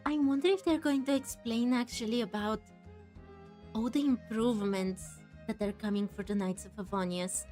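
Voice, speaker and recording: Female gaming host: higher register, casual running commentary over game music. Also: normal speed, warm tone. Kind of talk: casual let's-play commentary.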